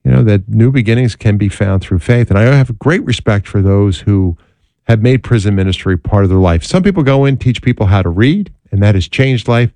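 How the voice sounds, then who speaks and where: A male talk show host with a low, mellow voice speaks earnestly in a close, dry studio. He talks casually with some fillers, then rises to a preacher-like emphasis on his key points. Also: a little fast, dry recording. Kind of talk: talk show monologue and interview.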